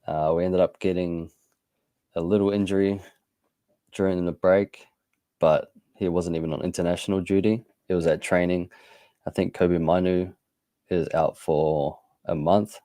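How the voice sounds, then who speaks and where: Man, very low voice, mellow, football co-host, unhurried, dry sound. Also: no room sound. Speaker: podcast co-host. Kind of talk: casual football chat.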